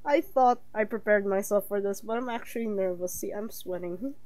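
A woman's voice: medium pitch, normal speed, a little mellow, no room sound. A young woman giving casual game commentary as she streams a horror visual novel. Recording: noisy home recording with insect-like hiss.

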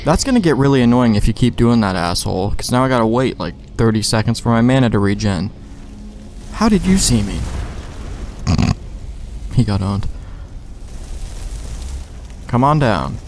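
A man's voice: medium pitch, lively intonation, moderate pace, long pauses, darkish tone, slight background noise. A man giving casual, rambling gameplay commentary with plenty of slang and swearing. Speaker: let's play gamer. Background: game music.

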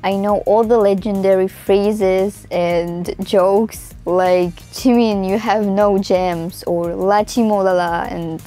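A woman in her mid-twenties from Russia, a native Russian and Tatar speaker, talks in a chatty, expressive way with a Russian accent in a casual vlog to camera. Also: medium pitch, takes it slowly, hardly any pauses. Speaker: vlogger. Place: small room indoors. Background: music.

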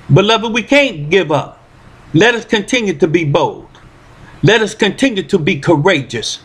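A high, husky male voice preaching in a drawl, dropping "amen" between almost every line. He holds a handheld microphone close to his mouth in a living room at home. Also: fairly bright tone. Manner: punctuates every phrase with "amen". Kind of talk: freestyle sermon.

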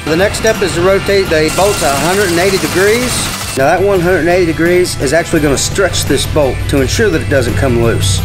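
A man's voice: on the high side, fairly quick, few pauses, music in the background, low-quality recording. A middle-aged man with an American accent, a folksy, plain-spoken diesel mechanic explaining a job step by step. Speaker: diesel mechanic presenting a tutorial. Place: large indoor heavy equipment workshop.

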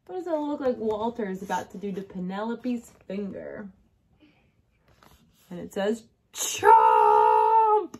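Expressive woman teacher with lively, swooping pitch. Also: moderate pace, long pauses, slightly rough. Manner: expressive, addressing children directly with check-in questions. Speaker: kindergarten teacher. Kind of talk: read-aloud storytelling for young children. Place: small decorated indoor room.

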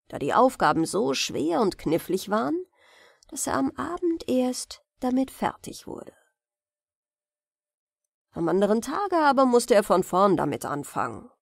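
A woman reading fairy tales as an audiobook narrator, with an expressive storytelling delivery. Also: a little high, creaky, no room sound, clean studio sound. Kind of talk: bedtime fairy-tale reading, scripted narration.

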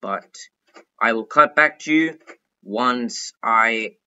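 A young man giving chatty, excitable commentary while playing a game, thinking out loud as he goes. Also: mid-range voice, slow, smooth, lo-fi sound. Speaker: gaming youtuber. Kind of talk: casual gameplay commentary.

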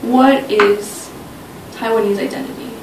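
A woman's voice: steady tone, measured pace. A young woman student casually sharing personal memories in an interview, recorded in a small office. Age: young adult. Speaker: study abroad student. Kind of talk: casual interview testimony, reminiscing. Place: small office meeting room, indoors. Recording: roomy, distant mic, faint low rumble.

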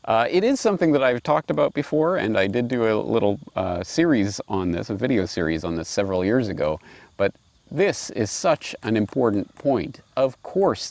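Expressive middle-aged man, musing aloud. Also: lowish voice, no echo. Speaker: presenter reading fables aloud and commenting. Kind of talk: reflective commentary and storybook reading. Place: outdoors in a grassy park. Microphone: lapel.

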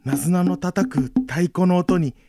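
Earnest young villager, lightly high voice. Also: male voice, unhurried. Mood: reminiscing. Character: gentle young Jomon-era village man, earnest and devoted. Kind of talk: radio drama acting with storytelling narration. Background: instrumental music.

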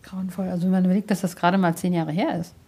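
A woman's voice: on the low side, steady tone, rapid-fire, mellow, slightly rough. A woman reporter chatting casually and asking questions in a colloquial, reactive way. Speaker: podcast reporter. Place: indoors in a museum.

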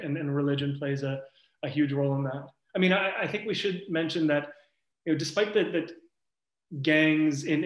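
A man speaking as a researcher in an academic panel discussion, thoughtful and loose, searching for his words as he goes. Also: a little high. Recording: echoey room.

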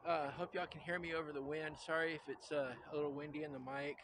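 A man's voice: fairly high pitch, flat delivery, quick pace. Easygoing older man with a drawl, outdoors. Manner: easygoing, repeats "really, really", many "uh" fillers. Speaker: outdoors channel host. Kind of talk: casual how-to vlog. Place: windy backyard outdoors. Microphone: clip-on mic, camera on tripod and handheld. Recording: wind noise on the mic. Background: wind.

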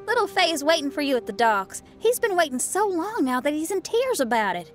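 A woman's voice: high-pitched, big pitch swings, fairly quick, soft background music. An actress playing a spirited young woman musician in a video game, colloquial, self-assured and encouraging. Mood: concerned, kind.

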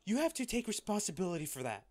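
A man playing a wealthy, devoted and distraught lover in an intimate audio roleplay, speaking earnestly and haltingly. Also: high-pitched, very animated, a little mellow. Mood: pleading, upset. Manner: halting, emotional, earnest delivery with pauses.

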